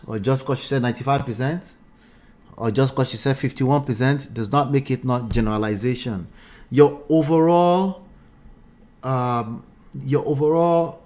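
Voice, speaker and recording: A man's voice: mid-range voice, darkish tone. Nigerian man reacting emphatically to comments. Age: 40s. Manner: emphatic, repeats points, asks pointed rhetorical questions. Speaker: relationship commentary host.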